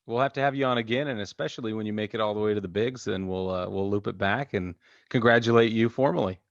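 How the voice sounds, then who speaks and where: A man's voice: on the low side, fast talker, vocal fry. Slightly muffled American man co-hosting. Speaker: podcast co-host. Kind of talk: casual chat. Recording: slightly dull, lo-fi audio.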